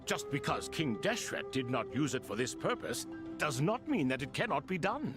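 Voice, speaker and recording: A man's voice: a little high, sing-song, moderate pace, music in the background. A man voicing a wry, grand ancient king spirit in a video game, with theatrical and ornate phrasing. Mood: proud.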